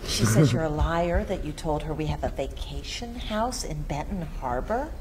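A woman's voice: low-pitched, animated, moderate pace. An actress reading a worried, flustered suburban mother at a table read. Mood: fretful. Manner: fretful, flustered. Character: fussy worried suburban mother, middle-aged. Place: meeting room, indoors. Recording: noisy room, table read.

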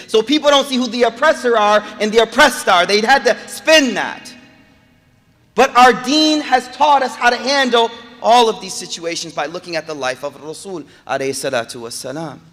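A man giving a Friday sermon, moving from calm storytelling to loud, emphatic preaching and repeating phrases for emphasis. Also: high-pitched, normal speed, faint music behind. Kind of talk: impassioned sermon. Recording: echoey hall reverb.

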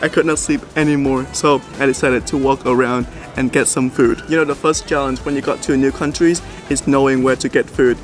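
A young man from Vietnam chats to a handheld camera in a relaxed vlog. His pitch stays level and even, sitting mid-range for a man. Background music plays clearly beneath his voice. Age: 20s. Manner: chatty, animated storytelling to camera. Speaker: vlogger. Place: apartment room indoors, then city streets and a shop.

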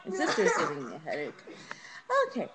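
A woman's voice: deep voice, wildly swooping pitch, quick pace. Young woman rambling casually, noisy webcam audio. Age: teenager to young adult. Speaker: vlogger. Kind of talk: casual rambling house tour. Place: rooms of a small house. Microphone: handheld webcam, moved around.

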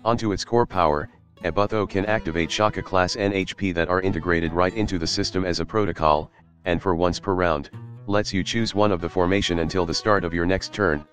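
Low-voiced man reviewing a game. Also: steady tone, fairly quick, a bit bright. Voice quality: casual, swears for emphasis. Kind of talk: scripted voice-over review. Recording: light guitar music behind.

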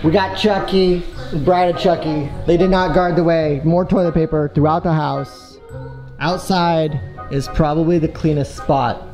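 An American man in his thirties narrating a casual home tour to camera in a chatty, exclaiming way. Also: fairly high pitch. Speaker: vlogger dad giving a tour. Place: inside a family house. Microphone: handheld camera. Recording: loud music under handheld home video. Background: loud music.

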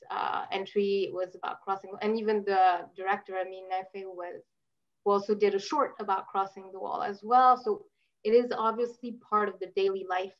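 A woman, a festival programmer, moderating a video call Q&A in a measured way with frequent fillers. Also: medium pitch. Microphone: laptop webcam. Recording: dull lo-fi call audio.

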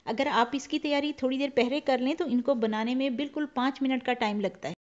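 A woman's voice: sits high, a little fast, muffled recording. A woman hosting a home cooking show, giving recipe instructions step by step. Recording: loud frying sizzle and stirring noise. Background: sizzling pan and stirring.

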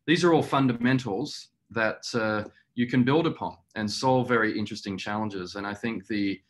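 A middle-aged man with a fairly low voice speaks on a video call as a company executive. He explains things earnestly and at a measured pace of thought, pausing often as he gathers his words. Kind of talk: webinar interview answer. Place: small indoor room, video call.

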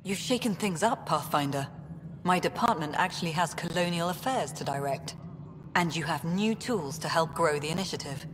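An actress voicing a brisk, businesslike female colonial director in a video game. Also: lowish voice, animated, in a large hall, background noise, lightly acted. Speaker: colonial affairs director character.

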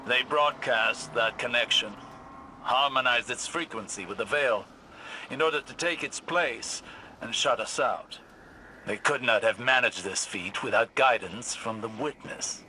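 A man's voice: medium pitch, unhurried, bright, forceful tone, slight background noise, low-quality recording. An actor playing a composed male ally in a video game who gives a precise, explanatory briefing.

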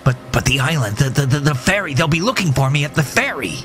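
A man's voice: fairly high pitch, animated, fast, a bit bright, faint music behind, voice pushed up. A man playing a panicked, guilt-haunted man in a horror audio story, stammering and pleading in fright.

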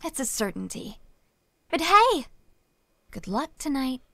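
A woman's voice: high voice, sing-song, slow, bright, forceful tone, no room sound, full character voice. An actress playing a smug young woman, a rival singer who talks down sweetly in a game. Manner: condescending, sweetly taunting.

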